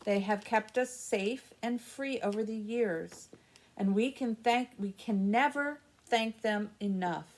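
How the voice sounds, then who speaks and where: A woman's voice: medium pitch, slow. An American woman hosting a library story time for children, reading and explaining things simply and patiently in short phrases.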